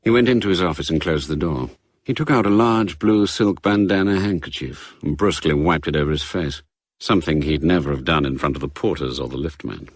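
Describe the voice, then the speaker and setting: A man's voice: extremely low pitch, fairly quick, no room sound, clean studio sound. A man with a British accent narrating a scripted drama as a storyteller.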